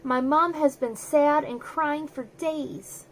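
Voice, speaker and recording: A small, grieving boy lion cub from a children's storybook , voiced by a woman. The voice is high, plaintive and earnest, with childlike simplicity. There is faint noise in the recording. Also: steady tone, takes it slowly. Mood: sad. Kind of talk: children's storybook read-aloud.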